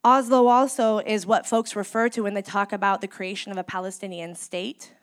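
A woman's voice: medium pitch, steady tone, clear, resonant tone. Emphatic woman organizer giving a speech. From San Francisco Bay Area, United States. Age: 30s. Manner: measured, emphatic delivery with deliberate pauses. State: in mourning. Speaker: community organizer. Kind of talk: impassioned speech.

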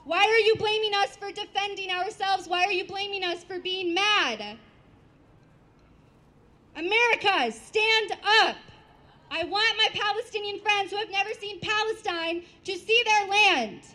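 A woman's voice: very high-pitched, a little slow, pushed and bright. A woman giving an impassioned protest speech at an outdoor rally, in short declarative lines. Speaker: rally speaker.

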